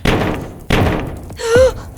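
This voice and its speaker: A woman's voice: drawn-out words, very noisy. A woman playing a wife on a cabin getaway, speaking emotive dialogue in a horror audio drama. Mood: panicked. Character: anxious wife on a cabin getaway gone wrong.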